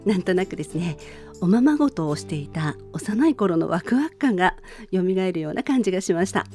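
Bright middle-aged woman hosting radio. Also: fairly low pitch, expressive, fairly quick. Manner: polite, formal phrasing with personal anecdotes. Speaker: radio show host. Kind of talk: gentle monologue radio talk. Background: faint music.

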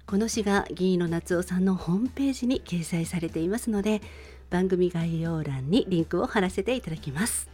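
A middle-aged woman hosting a radio show, talking in a soft-spoken way as she introduces a song. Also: on the low side, brisk, faint music behind.

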